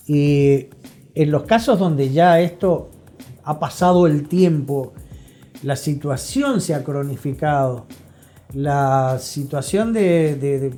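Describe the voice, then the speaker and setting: A middle-aged man with an Argentine accent, explaining things as an expert guest in an interview in an emphatic, deliberate way, pausing to check he is understood. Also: on the high side, warm tone. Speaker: guest expert, psychology professional.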